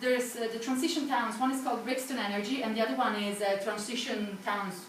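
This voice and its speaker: A woman's voice: a little high, steady tone, a little fast. Italian woman giving a chatty talk. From Italy. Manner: chatty, self-deprecating, many fillers and restarts. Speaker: guest speaker. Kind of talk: informal public talk. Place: small venue, talk to live audience. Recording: echoey room from a distance, faint background hiss.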